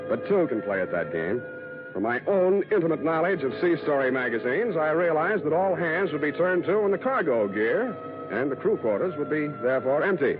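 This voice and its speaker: Wry hardboiled radio private eye, thin sound. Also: male voice, fairly high pitch, big pitch swings, brisk, phone-quality sound. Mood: wry, boastful. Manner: dry, sardonic, slangy, with drawling hesitations. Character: wry, hardboiled male private detective, cool and wisecracking. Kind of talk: 1940s radio acting, noir narration and dialogue. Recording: thin vintage radio recording with music.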